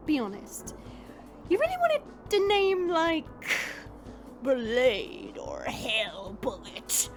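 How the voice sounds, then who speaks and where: Audio drama role: actress as a mischievous female monster, high, lively and sardonic, teasing with relish. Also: exaggerated voice. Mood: gleefully mocking. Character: playful, teasing female monster, powerful and lonely. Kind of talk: audio roleplay acting.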